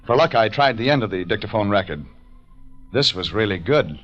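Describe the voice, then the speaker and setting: An actor playing a tough, wry private detective in clipped 1940s radio drama style. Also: male voice, fairly low pitch, lively intonation, brisk, lightly acted. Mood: terse, suspenseful. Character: tough, wry male private detective narrating his case. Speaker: actor playing the lead and narrator. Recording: dull old radio recording with music.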